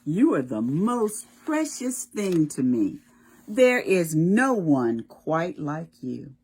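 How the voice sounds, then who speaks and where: A woman's voice: low voice, very animated, takes it slowly, a little mellow, breathy edge, heavily acted. A woman reading as a tender mother bunny. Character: gentle loving mother bunny.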